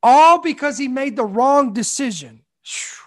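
A man's voice: extremely high pitch, expressive, unhurried, a bit bright. Preaching man in his forties. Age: 40s. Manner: emphatic preaching with repeated phrases and call-outs. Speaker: pastor. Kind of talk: sermon.

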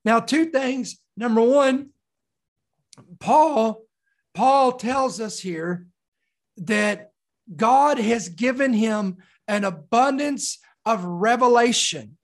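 A preacher with a slightly raspy, mildly hoarse voice, giving an expository sermon and reading scripture with deliberate emphasis on key words. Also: mid-range voice. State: mildly hoarse from sore throat.

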